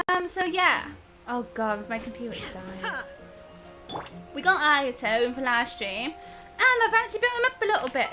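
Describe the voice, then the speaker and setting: A woman chatting casually and bubbly as she hosts a live game stream, quick to giggle. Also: sits high, sharp tone. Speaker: live stream gamer and host.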